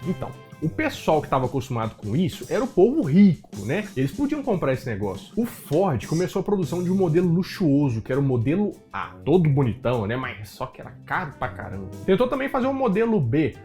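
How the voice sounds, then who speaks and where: Chatty Brazilian man, lively pitch. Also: fast, hardly any pauses, faint music behind. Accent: Brazilian Portuguese. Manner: chatty, jokey, asks rhetorical questions. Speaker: YouTube host. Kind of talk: casual storytelling explainer.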